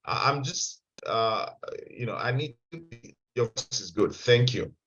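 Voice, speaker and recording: A man leads an online seminar in a mid-pitched voice, restarting phrases and repeating words as he goes. The sound is dull and lo-fi, as heard over a video call. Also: expressive, unhurried, a bit bright. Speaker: webinar presenter.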